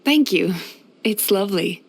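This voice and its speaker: A woman playing a gentle, caring female psychologist in a video game, speaking in a soothing, thoughtful, measured and softly playful manner. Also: medium pitch, lively intonation. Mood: pleased, serene. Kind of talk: scripted video game character voice lines.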